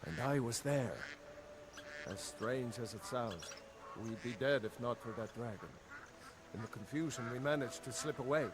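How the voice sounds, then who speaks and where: A man voices a tired rebel soldier from a fantasy game, a devoted brother with an earnest way of telling things. His voice sits at a middling male pitch, over loud ambient noise. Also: normal speed, close to an everyday voice. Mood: grave, amazed. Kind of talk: video game dialogue acting.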